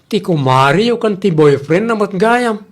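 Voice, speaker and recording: A woman's voice: mid-range voice, lively intonation, normal speed, close to an everyday voice. Swooping, teasing villager played by a woman. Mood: reasoning, teasing. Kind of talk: Ilocano audio comedy drama acting.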